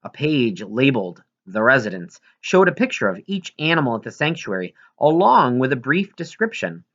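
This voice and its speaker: Bright-voiced man reading an audiobook. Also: medium pitch, very animated, normal speed, muffled recording. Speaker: audiobook reader. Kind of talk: scripted narration, reading a children's novel aloud.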